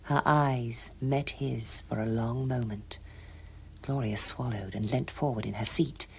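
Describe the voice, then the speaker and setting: A woman's voice: very deep voice, steady tone, a little slow, gravelly, a little mellow, slightly rough. A woman with an English accent reading a story expressively as an audiobook narrator.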